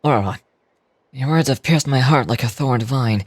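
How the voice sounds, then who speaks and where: A woman's voice: rumbling low, normal speed, a little mellow, husky, dry recording, voice pushed down. A woman voicing an earnest, deferential young man in game dialogue, polite and apologetic.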